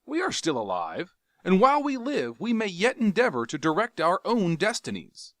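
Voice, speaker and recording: A man's voice: fairly low pitch, sing-song, a little slow, fairly bright tone, no room sound, clean studio sound, full character voice. A man doing Carthoris, a bold impatient warrior. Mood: commanding. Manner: commanding, impatient. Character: bold, impatient young male warrior prince.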